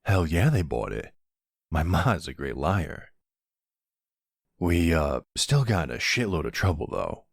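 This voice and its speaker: Man playing a deep, gravelly flirty mafia boss. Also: big pitch swings, measured pace, pauses often, no room sound, studio quality, exaggerated voice. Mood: amused reminiscing.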